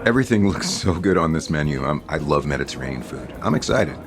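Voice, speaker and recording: A man's voice: extremely low pitch, expressive, fast talker. A man acting an affectionate, easygoing boyfriend in an audio soap opera, speaking naturally. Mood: tender, happy. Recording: studio drama with music underneath. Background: music.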